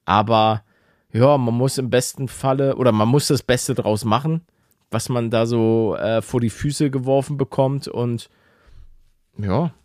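A man's voice: mid-range voice, a little slow, a little mellow, no room sound, studio quality. A man co-hosting a podcast in casual, slangy chat with joking banter. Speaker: podcast co-host.

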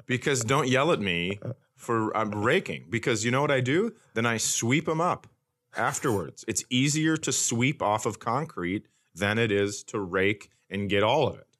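A middle-aged American man telling stories casually and comically, with an animated, blunt, ranting manner and some swearing. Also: mid-range voice, moderate pace. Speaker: podcast host, comedian. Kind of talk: casual comedic storytelling.

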